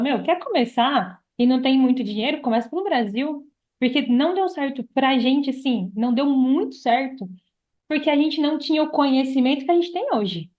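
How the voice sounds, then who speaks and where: Female podcast guest, Brazilian, high-pitched, casual conversation, somewhat lo-fi sound. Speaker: podcast guest, affiliate marketer.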